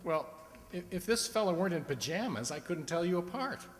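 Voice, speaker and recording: A man's voice: high voice, very animated, a little fast. A man acting in an amateur radio drama as a nosy, incredulous friend with a hesitant, disbelieving manner. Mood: astonished, disbelieving. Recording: echoey hall, faint background noise.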